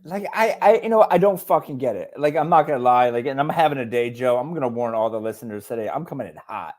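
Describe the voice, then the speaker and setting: A man's voice: medium pitch, expressive, fast talker, darkish tone. A man co-hosting a casual podcast, talking bluntly and with heavy swearing as he rants. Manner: blunt, profane, fired-up ranting. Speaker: podcast co-host.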